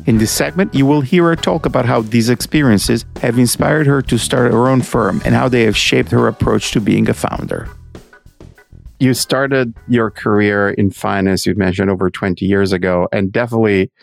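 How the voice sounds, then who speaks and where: A man hosting an interview, with a gravelly voice, introducing his guest and asking slow, deliberate questions. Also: mid-range voice, faint music behind. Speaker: interview host.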